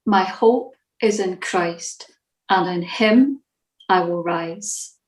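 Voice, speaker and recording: A woman reading a scripted church devotional in a measured, reflective manner. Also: lowish voice, echoey room, poor audio.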